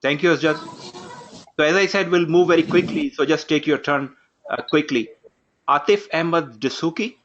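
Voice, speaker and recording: A man opening an online course from his home office, giving instructions briskly and calling on participants one by one. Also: fairly high pitch, a bit bright, highs cut off. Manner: brisk, instructive, takes roll call. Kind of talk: live online class introduction. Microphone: video call.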